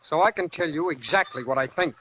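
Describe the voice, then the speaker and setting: An actor playing a bitter, defiant war veteran turned farmer in 1940s radio drama style, blunt, combative and folksy. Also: male voice, fairly high pitch, brisk, fairly bright tone, natural voice. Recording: noisy old-time radio recording.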